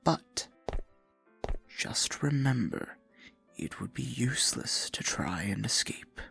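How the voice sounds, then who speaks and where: A man playing a possessive, theatrical dark sorcerer in a fantasy ASMR roleplay, murmuring with silky menace and mock-sweet endearments in a husky, gravelly voice. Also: mid-range voice, animated, takes it slowly, soft background music, heavily acted. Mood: silky, menacing courtesy.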